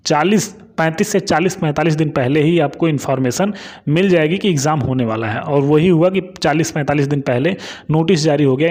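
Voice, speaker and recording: A man speaks in a somewhat high-pitched voice. He gives informal exam updates as an online study adviser. His delivery is repetitive and emphatic, urging listeners on and dropping in frequent reassuring tags. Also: darkish tone.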